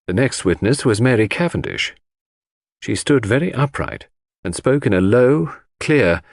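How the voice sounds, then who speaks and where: A man reading a classic detective story as scripted narration, in a measured storytelling manner. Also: on the low side, no echo, clean studio sound. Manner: measured storytelling with acted dialogue. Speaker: audiobook narrator.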